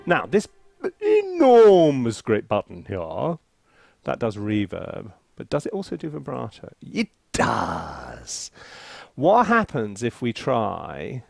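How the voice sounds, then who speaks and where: Animated, creaky-voiced man thinking aloud. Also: mid-range voice, slow. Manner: playful, self-deprecating, thinking aloud. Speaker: composer reviewing a sample library. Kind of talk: casual tutorial commentary while working.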